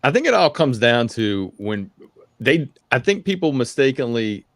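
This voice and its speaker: A man's voice: medium pitch, very animated, moderate pace, dry recording, clean studio sound. A man talking casually on a panel, hesitant and searching for his words.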